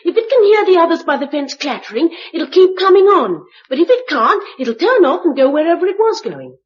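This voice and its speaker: A woman's voice: very high voice, sing-song, a little fast, a little hiss or hum. A woman with an English accent playing an earnest, blunt eleven-year-old girl in a vintage radio drama. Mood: earnest, resentful. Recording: dull old radio recording.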